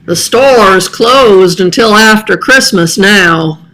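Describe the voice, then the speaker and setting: A woman voicing a gentle, resigned old man in a children's storybook, speaking patiently with some mumbling. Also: medium pitch, slow pace, rough recording. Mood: sad, resigned.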